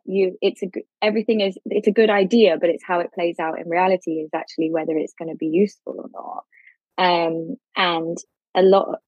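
A woman's voice: lowish voice, no room sound, muffled recording. A woman speaking as a legal expert guest, explaining things informally.

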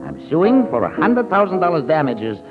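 An actor playing a suave, evasive murder suspect with formal, precise speech in a 1940s radio drama. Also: male voice, a little high, very animated, normal speed. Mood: coolly menacing. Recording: muffled old radio recording, organ music.

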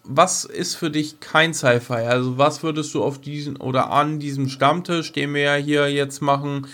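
A man's voice: fairly high pitch, level tone, normal speed, a bit bright. A man co-hosting a podcast in casual fan chat, blunt and teasing, pressing his points. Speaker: podcast co-host.

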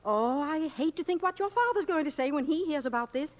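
A woman playing a gentle, fretful middle-aged mother in 1940s radio drama style, soothing and easily flustered. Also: high voice, expressive, fairly quick, muffled recording. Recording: reverberant old radio recording.

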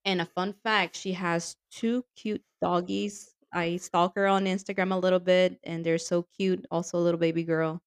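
A woman co-hosting a podcast in casual chat, enthusiastic and laughing often, her voice a little raspy from yelling. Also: fairly low pitch, little pitch movement, moderate pace, few pauses. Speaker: podcast co-host. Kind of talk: casual chat about women's soccer.

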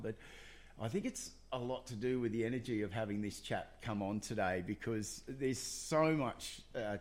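A man hosting a casual talk show, chatty and effusive. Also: medium pitch. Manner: chatty, effusive, fast bursts. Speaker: host. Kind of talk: casual radio chat.

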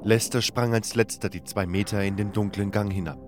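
Low-voiced man narrating a fantasy audiobook. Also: steady tone, fairly quick. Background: music.

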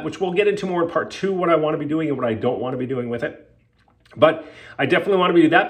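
Emphatic podcaster with a sniffle. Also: male voice, a little high. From Canada. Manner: candid, emphatic, with fillers and swearing. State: sniffly from a cold. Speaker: podcast host and health coach. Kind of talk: casual solo podcast monologue.

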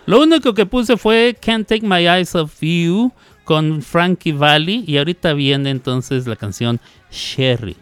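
A man's voice: a little high, lively intonation, a little slow, clear, resonant tone. Chatty Mexican man hosting radio. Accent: northern Mexican. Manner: chatty, laughs often, playful asides. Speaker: radio host. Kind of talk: casual live radio chat.

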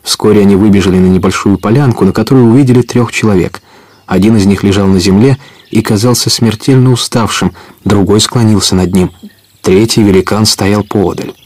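Man, audio play narrator, low voice, dry studio sound, scripted storytelling. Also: fairly even intonation, fairly quick, a little mellow, dry recording.

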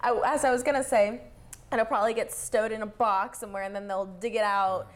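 A woman's voice: on the high side, steady tone, brisk. A young woman interviewing a family member in casual chat, affirming often and chatting freely. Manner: chatty, affirming, frequent backchannel.

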